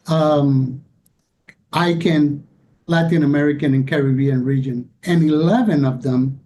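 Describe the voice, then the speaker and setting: A man giving a formal, deliberate slide presentation as a conference panelist. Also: dark tone. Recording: rumbly background noise.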